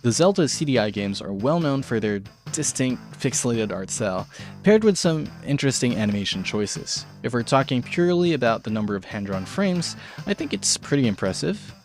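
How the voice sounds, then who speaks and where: A man's voice: mid-range voice, very animated, fairly quick, faint music behind. A man hosting an art tutorial video, narrating in a semi-scripted but casual way with playful, tongue-in-cheek asides. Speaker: video show host, art tutorial presenter.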